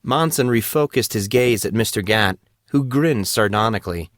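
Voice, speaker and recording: A man's voice: fairly low pitch, lively intonation, moderate pace, fairly bright tone, no echo, studio quality. A man reading scripted fantasy fiction aloud as an audiobook narrator.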